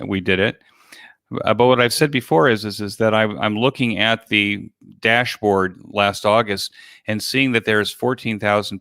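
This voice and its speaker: A man gives a conversational walkthrough as the guest in an interview. His voice is on the low side and very clean and smooth. He explains things step by step, and the sound is dry and close. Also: a bit bright, no echo. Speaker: interview guest, online event organizer.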